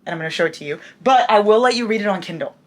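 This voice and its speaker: A woman's voice: mid-range voice, animated, rapid-fire. A young woman hosting a reading vlog at home, chatting in a bubbly way and often saying y'all. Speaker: book vlogger, channel host. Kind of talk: casual vlog chat.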